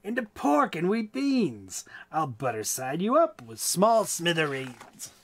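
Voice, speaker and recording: A man's voice: fairly high pitch, wildly swooping pitch, slow pace, fairly bright tone, a little hiss or hum, exaggerated voice. A man voicing a combative storybook soldier who taunts and threatens in a boastful, declamatory way. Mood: threatening.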